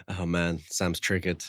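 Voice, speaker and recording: Low-voiced, measured man on a podcast. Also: a bit bright, no echo, clean studio sound. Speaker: book podcast co-host. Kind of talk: casual book review chat.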